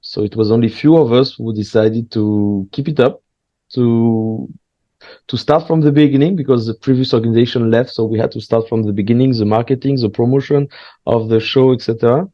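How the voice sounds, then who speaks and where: A man's voice: mid-range voice, no echo, highs cut off. Hesitant man. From France. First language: French. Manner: hesitant, frequent pauses and restarts, candid. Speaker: guest, stand-up comedian. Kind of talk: casual interview chat.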